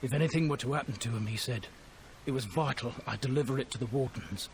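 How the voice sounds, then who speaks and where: A man's voice: medium pitch, quick pace, slight background noise, lightly acted. An actor playing a wounded male soldier in a video game, weary and regretful, resigned to his death. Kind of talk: video game cutscene acting.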